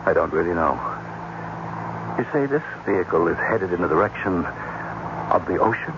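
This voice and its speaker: An actor playing a steadfast, questioning astronaut colonel, earnest and insistent, in a radio drama. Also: male voice, deep voice, lively intonation, normal speed. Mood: wondering. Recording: muffled, faintly hissy old radio broadcast.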